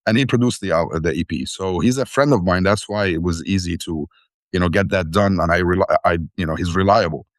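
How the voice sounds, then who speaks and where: A man from Syria speaks in a very deep register. He tells his story earnestly and rambles, often restarting his sentences. The recording is dry and close. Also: brisk, no room sound. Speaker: band founder and vocalist. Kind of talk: interview guest, casual storytelling. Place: living in the Netherlands.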